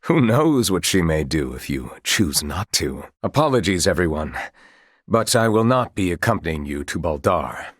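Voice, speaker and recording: A man's voice: deep voice, big pitch swings, moderate pace, slightly rough, no echo, studio quality, heavily acted. A man playing a composed, proud knight commander of royal blood in a video game, speaking in formal, archaic, courtly phrases. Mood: calm, formal.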